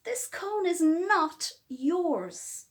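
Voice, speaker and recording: A woman plays a flighty young boy squirrel from a children's storybook. He is hungry and excitable, pleading in loud, high cries that swing up and down. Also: drawn-out words, exaggerated voice. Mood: desperate. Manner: shouting and crying out, pleading.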